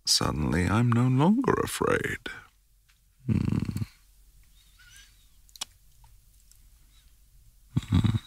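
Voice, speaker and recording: A man acts out a loving, playful young boyfriend in an intimate audio roleplay. His very low voice is husky and breathy, murmured slowly and close to the microphone, with lingering pauses and hums. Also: huge pitch swings, no room sound, exaggerated voice. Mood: teasing, amorous. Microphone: close, dry microphone.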